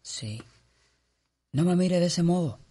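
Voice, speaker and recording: An actor playing a wealthy, commanding gentleman of business in a radio soap opera. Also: sits high, animated, slow pace, slightly rough, exaggerated voice. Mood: grave.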